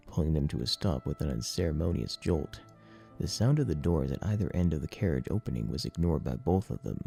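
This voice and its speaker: A man narrating dramatic fiction in a solemn, deliberate storytelling manner. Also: rumbling low, vocal fry, mellow, breathy edge. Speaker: audiobook narrator. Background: music.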